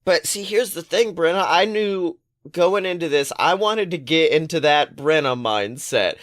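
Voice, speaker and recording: Excitable high-pitched man chatting. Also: a little slow. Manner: excitable, playful storytelling with profanity. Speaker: podcast co-host. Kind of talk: casual comedic chat.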